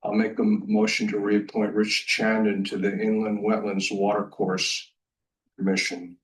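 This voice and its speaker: Lowish guy on a town board, chatty, kinda distant from the mic. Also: little pitch movement, moderate pace. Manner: informal, asks questions, self-deprecating. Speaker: town selectman. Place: meeting room. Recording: voice from far off the mic.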